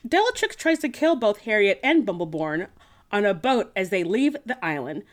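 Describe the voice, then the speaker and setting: A woman's voice: medium pitch, sing-song, normal speed. A woman co-hosting a podcast in casual, playful, slangy chat, as if recapping a book for friends. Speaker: podcast co-host.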